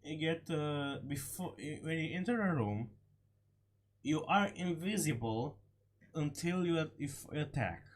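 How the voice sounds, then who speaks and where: A man giving casual, unscripted game commentary, halting and repeating short phrases, his voice slightly hoarse from a cold. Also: a little high, lively intonation. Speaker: gaming video creator.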